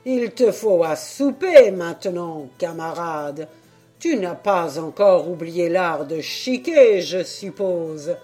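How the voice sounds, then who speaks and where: A woman reading a story, voicing a rough, tipsy male sailor who talks loudly in salty slang. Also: lowish voice, animated, slow pace, faint music behind. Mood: scheming. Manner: loud, gruff, full of sailor slang. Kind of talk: storybook character voice.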